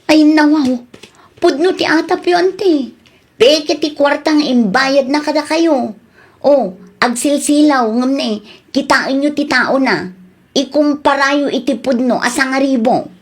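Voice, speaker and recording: A woman's voice: sits high, a little slow. A woman playing a sensible, helpful niece in a radio drama, speaking in an earnest, advising way. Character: helpful, sensible adult niece giving advice. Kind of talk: Ilocano comedy radio drama acting.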